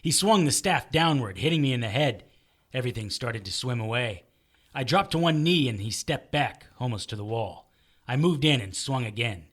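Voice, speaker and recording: Terse, wry detective narrator, medium pitch. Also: male voice, expressive, normal speed, fairly bright tone. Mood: tense, wry. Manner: terse, dry storytelling. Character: weary, wry male detective narrating his own fight. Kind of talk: first-person audio drama narration.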